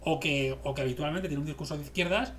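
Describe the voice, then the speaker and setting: A man's voice: fairly high pitch, fast talker, fairly bright tone. A man in his thirties with an accent from Spain, discussing politics casually and emphatically, rambling and repeating himself on a headset. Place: small room at home, video call. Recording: background noise on home stream.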